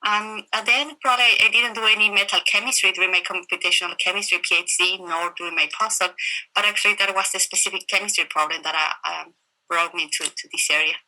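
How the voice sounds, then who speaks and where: Bright-voiced woman with a Chilean Spanish accent. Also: mid-range voice, steady tone, fast, poor audio. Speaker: interviewed professor of computational chemistry. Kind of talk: interview answers, explaining research. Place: virtual interview over video call. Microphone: video call.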